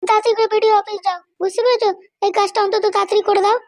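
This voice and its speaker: A man voicing a bossy, short-tempered middle-aged cartoon wife with a West Bengal accent and a pitched-up voice. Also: flat delivery, racing, dry recording, clean studio sound. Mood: insistent. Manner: scolding, threatening. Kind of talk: comic cartoon dialogue.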